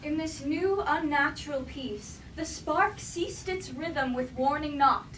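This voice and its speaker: A woman playing a solemn Greek chorus member, reciting a war legend in measured verse in an echoey room. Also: high voice, a bit bright. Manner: measured, declamatory verse delivery. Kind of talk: staged reading of verse tragedy. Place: indoor room at a live staged reading. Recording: echoey room from far off, noisy.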